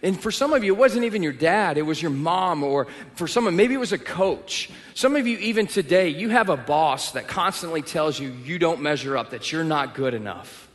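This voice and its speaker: A young Texan man preaching to a church crowd, his fairly high voice ringing out with a long hall reverb. He tells stories from his own life and pokes fun at himself along the way. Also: lively intonation, moderate pace, hardly any pauses. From Texas. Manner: personal storytelling with self-deprecating humor. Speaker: pastor. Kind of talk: sermon. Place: church auditorium.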